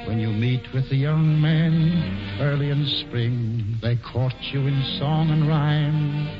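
A vain, aging governor croons a slow courting song and then turns to blustering commands. His voice sits fairly high and takes its time. It comes from a dull vintage radio broadcast with a loud orchestra behind him. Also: over music. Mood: wistful crooning. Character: aging pompous male colonial governor courting a young maiden. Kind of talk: 1940s radio musical drama.

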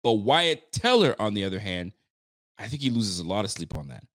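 A man in his late thirties hosting a casual sports talk show, animated and chatty, often repeating himself. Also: fairly low pitch, brisk, no echo, studio quality. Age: 30s. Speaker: sports podcast host.

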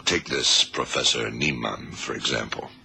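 A man playing a calm, probing blind American detective in an old radio drama, with a gravelly, measured delivery. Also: a bass voice, full character voice. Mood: serious, reflective. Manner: unhurried, measured, terse. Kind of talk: 1970s radio drama acting. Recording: faint noise, old radio recording.

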